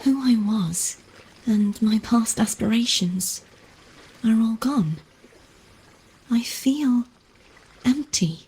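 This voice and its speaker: A soft, breathy, wistful swordswoman. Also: fairly high pitch, lively intonation, takes it slowly, long pauses, heavily acted, poor audio. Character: gentle, weary young noblewoman swordfighter.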